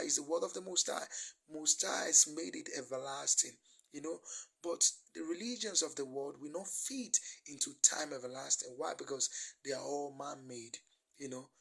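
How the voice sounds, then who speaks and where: A man's voice: on the high side, creaky, a bit bright, slightly rough, poor audio. A middle-aged Nigerian-accented man, a native Yoruba speaker, preaching informally off the cuff in a rambling, repetitive manner. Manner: rambling, repetitive, often saying "you know". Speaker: lay preacher livestreaming to followers.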